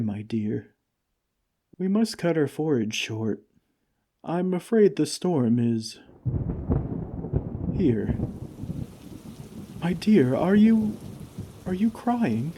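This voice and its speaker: A man playing a gentle, caring friendly giant in an ASMR comfort roleplay, with tender, old-fashioned, unhurried phrasing. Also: mid-range voice, husky, exaggerated voice. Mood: worried.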